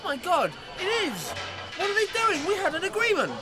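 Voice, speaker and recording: Guy playing flustered outraged everyman in radio play, super high voice, spluttering. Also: wildly swooping pitch, fairly quick, loud music behind the voice, over a noisy crowd, exaggerated voice. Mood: alarmed, outraged. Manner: indignant, spluttering. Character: outraged, bewildered ordinary Englishman, easily flustered. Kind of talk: radio drama acting.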